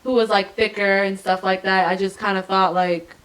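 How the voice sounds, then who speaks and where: Young woman podcast cohost, bright voice, animated storytelling. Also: medium pitch, normal speed, low-quality recording. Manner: animated, laughs while telling stories. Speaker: podcast co-host. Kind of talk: casual chat.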